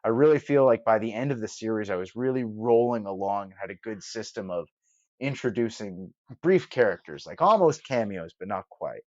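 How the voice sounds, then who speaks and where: A man's voice: fairly low pitch, expressive, brisk, no echo, highs cut off. A man talking casually as an interview guest, explaining his production work in an emphatic way, building his points in lists. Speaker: radio broadcaster and audio drama producer, podcast guest.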